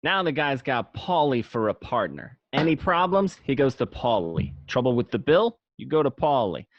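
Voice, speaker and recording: A man's voice: medium pitch, very animated, moderate pace, no echo. A man playing a cynical, streetwise gangster who narrates his story in a radio play. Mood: matter-of-fact, cynical. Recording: muffled, dry home recording.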